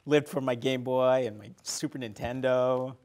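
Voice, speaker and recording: A man's voice: mid-range voice, steady tone, normal speed, a little mellow. A man in his thirties chatting casually about films as a guest, tentative and agreeable. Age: 30s. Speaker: podcast guest.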